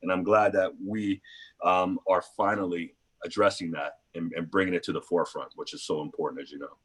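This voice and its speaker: A man's voice: deep voice, steady tone, brisk, slightly rough. A man in his forties hosting a live interview, talking casually with loose, filler-filled phrasing. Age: 40s. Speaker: host. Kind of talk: live video conversation.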